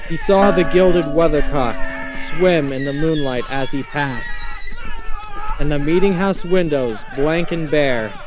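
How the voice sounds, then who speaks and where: Solemn male poetry narrator, higher-pitched voice. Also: noisy background. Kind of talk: dramatic poetry reading. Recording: loud music behind the voice.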